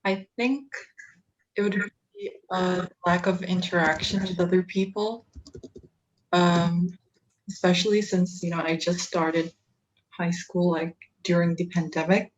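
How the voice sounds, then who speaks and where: A woman's voice: fairly low pitch, steady tone, unhurried. A teenage girl giving a thoughtful answer with a few fillers. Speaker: student panelist. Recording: dull lo-fi mic with clicks.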